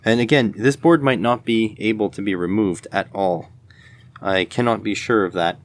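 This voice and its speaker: A man's voice: lowish voice, moderate pace. A man giving casual hands-on commentary as host of an electronics teardown video, tentatively thinking aloud as he goes.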